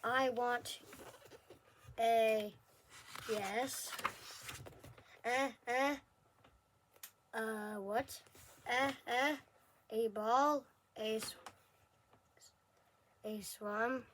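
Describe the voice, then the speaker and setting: A woman's voice: fairly high pitch, steady tone, extremely slow, long pauses, slight background noise. A woman reading a children's picture book aloud word by word, stumbling and correcting herself as she goes. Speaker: story reader.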